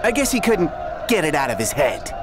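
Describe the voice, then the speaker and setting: High-pitched, wry game sidekick. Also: male voice, huge pitch swings, a little fast, loud music behind the voice. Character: chatty, wry male sidekick of an action hero. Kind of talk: video game voice acting.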